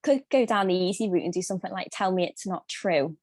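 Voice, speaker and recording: A woman's voice: fairly low pitch, lively intonation, fast talker, over a noisy crowd. A young woman from Liverpool with a light Scouse accent, chatting as a guest singer with many ums and restarts. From Liverpool, England. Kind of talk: casual interview chat.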